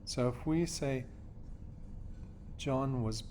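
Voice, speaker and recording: A man from Australia teaches a lesson in a patient, step-by-step way. His voice sits at a middle pitch and he keeps checking that his students follow. A faint background noise runs under the recording. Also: very slow, darkish tone. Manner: patient, checks in with "okay?" and "yeah?". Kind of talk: classroom lesson explanation.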